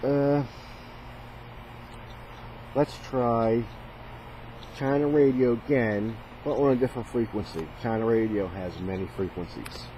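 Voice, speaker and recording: A man's voice: medium pitch, measured pace, long pauses, mellow. A man casually demonstrating a radio as a hobbyist, hesitant and thinking aloud as he goes. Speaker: radio hobbyist presenting a video. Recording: noisy, radio static in background. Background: shortwave radio static and chatter.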